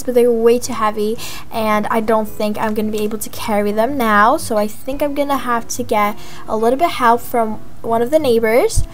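A young woman voicing a chatty, excitable young mother in a gaming roleplay vlog, gushing and drawing out words for emphasis. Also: a little high, natural voice. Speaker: video creator voicing her game character. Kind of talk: voiced life-simulation roleplay vlog narration. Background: background music.

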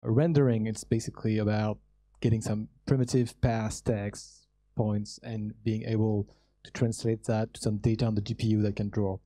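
Young man with a very dark voice on a panel. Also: fairly low pitch, little pitch movement, moderate pace, dry recording. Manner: earnest technical explanation with frequent fillers. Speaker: panelist, startup co-founder. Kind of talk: conference panel answer. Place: large hall with audience. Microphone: handheld mic.

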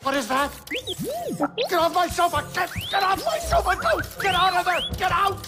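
An actor playing a small, grumpy, excitable middle-aged alien pilot in a video game, blustering, fussy and comic. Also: male voice, very high voice, normal speed, over loud music, heavily acted. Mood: outraged.

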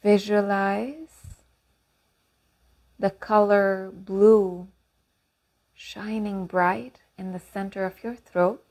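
A woman leading a guided meditation and affirmations in calm, unhurried instruction with long pauses. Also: medium pitch.

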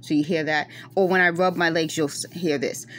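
A middle-aged woman from New York speaks with a Bronx accent that carries a West Indian lilt, her voice sitting fairly low. She talks to her phone camera up close, earnest and insistent, circling back over her points. A faint hum runs underneath. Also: steady tone, fairly quick. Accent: Bronx New York with West Indian traces. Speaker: vlogger. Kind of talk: casual monologue to camera. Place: dim room at night, indoors.

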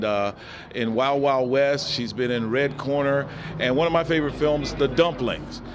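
A man's voice: fairly high pitch, background noise. A man hosting a film premiere, introducing the event and interviewing fans on a handheld mic in a cinema lobby. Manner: upbeat, introducing and asking questions. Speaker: interviewer and host. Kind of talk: event reporting and street interviews.

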